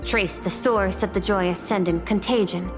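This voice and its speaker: A woman's voice: medium pitch, normal speed, natural voice. A woman voicing a cool, precise female corporate official in a game, speaking in a clipped, exact way. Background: game music.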